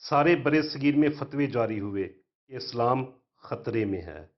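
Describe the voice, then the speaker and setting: A man with a Pakistani accent reading a formal, measured scripted educational narration as a history narrator. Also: mid-range voice, expressive, lo-fi sound.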